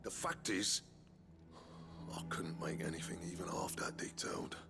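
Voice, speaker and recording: An actor playing a gruff, proud master blacksmith who grumbles and swears bluntly in a video game. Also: male voice, deep voice, huge pitch swings, normal speed, whispered, loud music behind the voice, full character voice. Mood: grudging, bitter. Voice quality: gravelly. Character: gruff, proud, foul-mouthed village-born master blacksmith.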